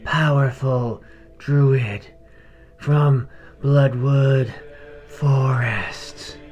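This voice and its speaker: A dead gnoll, dragged back to speak in a fantasy tale. The words come out breathy, very slow and laboured, drained and reluctant, with music behind them. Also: male voice, mid-range voice, fairly even intonation, darkish tone. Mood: strained, lifeless.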